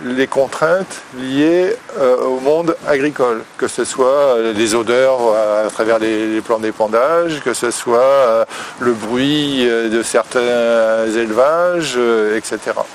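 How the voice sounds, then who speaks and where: Male local councillor, mid-pitched, halting interview delivery with searching pauses, light outdoor noise in the background. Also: poor audio. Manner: hesitant, searching for words, halting phrasing. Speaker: local elected official, vice-president of a rural district council. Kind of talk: spoken interview testimony.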